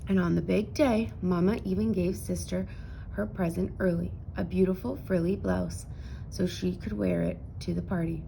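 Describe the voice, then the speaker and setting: A woman narrating a children's picture book aloud in an expressive storytelling style. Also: on the low side, normal speed, vocal fry, slight background noise, poor audio.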